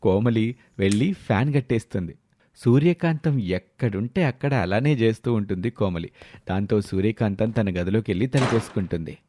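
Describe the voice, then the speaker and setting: A man's voice: lowish voice, moderate pace, dark tone, a little hiss or hum. A man narrating a scripted moral story as the storyteller. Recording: music behind the voice.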